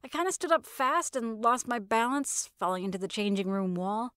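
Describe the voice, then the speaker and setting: A woman reading first-person comedic fiction in a wry, sardonic, expressive storytelling manner. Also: on the high side, normal speed, no echo, clean studio sound. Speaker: narrator of fiction podcast.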